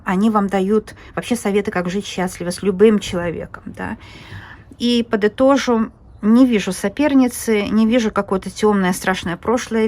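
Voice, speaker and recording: Woman doing a casual tarot reading, rambly, lots of ums, talks to her viewers like friends. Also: mid-range voice. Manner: rambling, chatty, with many fillers and restarts; addresses viewers directly as friends and girls. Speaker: tarot reader.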